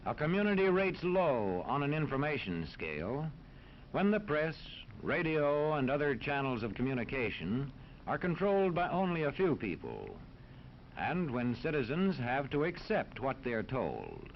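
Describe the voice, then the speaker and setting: A man's voice: medium pitch, huge pitch swings, normal speed. A man giving formal, didactic scripted narration in the style of a 1940s educational film. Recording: dull old film soundtrack, faint noise.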